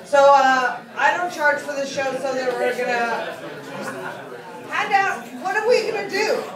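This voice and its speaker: A woman's voice: high-pitched, unhurried. A brash, profane woman hosting a live comedy show off the cuff, ribbing people as she goes. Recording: echoey room with crowd, distant mic.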